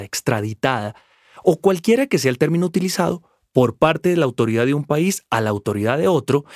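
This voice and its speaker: A man playing a lawyer who patiently explains migrants' rights in a measured, formal way. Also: mid-range voice, a little mellow, no room sound, clean studio sound. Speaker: lawyer at a public rights office. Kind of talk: scripted explanatory radio drama.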